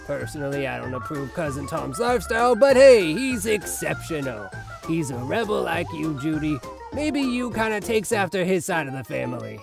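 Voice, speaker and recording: A man plays a jovial cartoon rabbit dad with a folksy, rambling way of talking. His voice rides high and he chatters on obliviously. Light music runs underneath. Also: very animated, normal speed, vocal fry. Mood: cheerful, oblivious. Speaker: voice actor in a comic dub.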